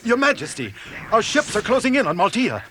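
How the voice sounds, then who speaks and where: An actor playing an anxious fleet officer with urgent, theatrical delivery in a 1970s science fiction audio drama. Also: male voice, sits high, huge pitch swings, fairly quick, bright, forceful tone, exaggerated voice.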